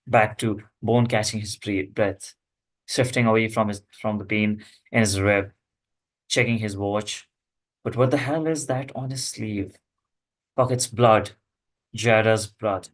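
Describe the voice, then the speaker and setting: Slow, low-voiced man reading stage directions. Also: low-quality recording. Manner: halting, fragmented phrasing. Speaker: screenplay table-read participant reading stage directions. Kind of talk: script read-aloud.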